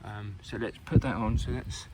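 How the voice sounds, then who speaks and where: A British man giving a casual hands-on product review in an informal, halting way. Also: fairly low pitch, steady tone, very noisy.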